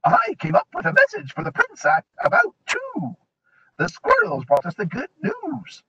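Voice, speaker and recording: A man voicing a sleepy, muddled male owl who hoots and repeats his words in a sing-song falsetto, on a dull lo-fi recording. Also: wildly swooping pitch, moderate pace, vocal fry, a bit bright, slight background noise, heavily acted. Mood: fussy.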